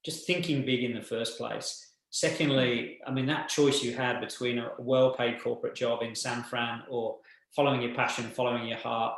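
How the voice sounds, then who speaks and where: A man's voice: mid-range voice, steady tone, normal speed, fairly bright tone. A man hosting an interview, encouraging and praising his guest. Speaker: host. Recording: very echoey room.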